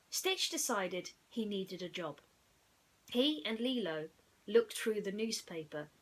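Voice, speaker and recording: Woman, children's storyteller: very lively intonation, bright delivery, playful and theatrical with chatty asides. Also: mid-range voice, poor audio. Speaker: children's bedtime story reader.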